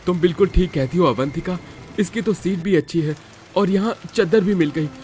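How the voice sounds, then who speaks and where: A man's voice: high-pitched, moderate pace, darkish tone, voice pushed up, close to an everyday voice. A man voicing a poor, worried but caring young husband in dramatized storybook dialogue. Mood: relieved, pleased.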